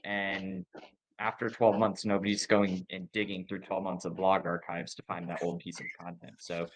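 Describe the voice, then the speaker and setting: A man with a very low voice speaks as a podcast host. He is conversational and measured, explaining and summing up ideas as he goes. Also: level tone, low-quality recording.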